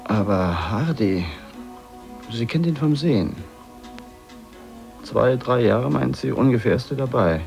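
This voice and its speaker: An actor playing a guarded male informant in a radio drama, gravelly and clipped in his reporting. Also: medium pitch, lively intonation, measured pace, pauses often, darkish tone, soft background music.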